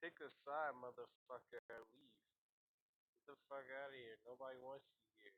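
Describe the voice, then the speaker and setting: A man's voice: mid-range voice, steady tone, normal speed. A man talking casual, profane trash in a voice chat, confrontational in manner. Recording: tinny phone-like walkie-talkie app audio.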